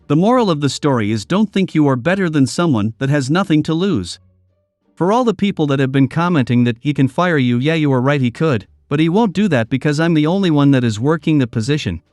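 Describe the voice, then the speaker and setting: A man reading online posts aloud as story narration, in a steady, even delivery. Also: a little high, brisk, no echo, clean studio sound, soft background music.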